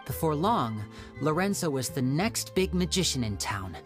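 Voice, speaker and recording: Young game magician, polite schemer, measured, persuasive, lowish voice, expressive intonation. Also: female voice, over music. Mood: measured, planning. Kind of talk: English game voice acting.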